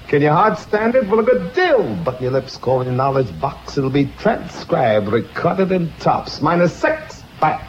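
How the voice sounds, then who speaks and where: A hoarse man working as a radio disc jockey, rattling off showy rhyming slang patter on an old radio broadcast. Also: fairly high pitch, big pitch swings, normal speed, hardly any pauses, background noise. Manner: showy, rhythmic hipster slang. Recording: dull lo-fi vintage radio aircheck.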